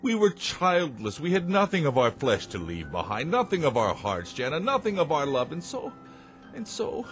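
An actor playing a tender, earnest older inventor father in a radio drama, placating and halting. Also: a little high, huge pitch swings, fairly bright tone, faint music behind. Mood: earnest pleading.

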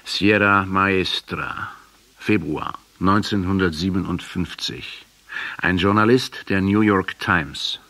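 An actor in a radio play playing a probing male essayist who speaks in a deliberate, insistent way. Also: low voice, sharp tone, heavily acted. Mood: critical, measured. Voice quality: husky. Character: probing, measured male essayist from Buenos Aires.

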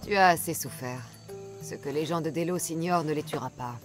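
Woman voicing a blunt wry merc hunter lady in a video game, lowish voice, music behind. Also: brisk, lightly acted. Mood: firm. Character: tough, wry female mercenary hunter with a heart. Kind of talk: French game dub dialogue.

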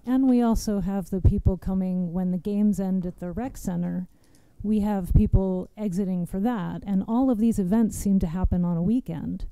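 A soft-spoken young woman giving nervous public testimony at a standing mic in a large hearing room. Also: medium pitch, moderate pace, few pauses, clean tone, no echo, low-quality recording.

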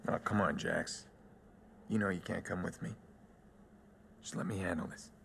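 Casting: brooding game vigilante hacker, low gravelly voice, guarded and terse, some background noise. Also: male voice, steady tone, normal speed, fairly bright tone, heavily acted. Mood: coaxing. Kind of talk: cinematic video game acting.

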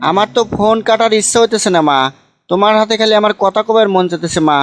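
Guy doing a sad pleading village son for a cartoon, high pitched, bogura accent, music under it. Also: fast, natural voice. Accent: northern Bangladeshi (Bogura). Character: hardworking lonely migrant-worker son, pleading and wounded. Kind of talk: amateur comedy cartoon dubbing. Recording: music behind the voice.

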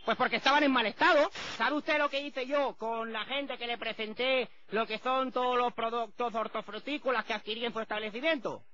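A very high, piercing, prissy gentleman with a Spanish accent from Spain. He complains pompously and repeats himself in offence, as in a prank call. The phone recording is dull and lo-fi. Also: fairly even intonation, brisk, hardly any pauses. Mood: indignant complaint. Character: prissy, easily offended, high-voiced male customer complaining. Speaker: prank caller.

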